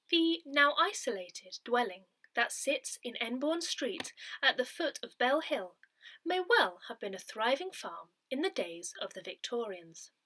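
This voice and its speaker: A woman narrates written articles aloud as a newsletter reader. Her voice sits a little high and has a bright edge. Her intonation moves in a lively, animated way through the scripted text.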